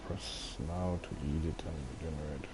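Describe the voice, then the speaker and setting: A man's voice: rumbling low, normal speed, very noisy. A man giving casual let's play commentary, muttering wry asides and reading game text aloud. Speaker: gamer playing and commenting.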